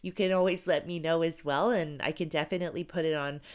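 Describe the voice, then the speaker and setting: A woman's voice: sits low, fairly even intonation, fast. A woman hosting a paranormal podcast, chatting casually and a bit congested, thanking listeners in a rambling, friendly way. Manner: chatty, grateful, rambling with fillers. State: congested from a cold. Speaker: podcast host. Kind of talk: casual solo podcast talk.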